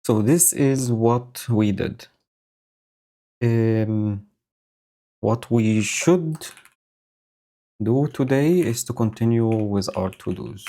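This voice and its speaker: A man with a low, mellow voice talks through his code for a live stream. He speaks slowly and pauses often, filling the gaps with ums and uhs as he thinks aloud. He is recorded on a home mic with a noise gate. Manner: slow, thinking aloud with many fillers. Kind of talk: casual live-coding commentary. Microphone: new home audio setup with noise gate.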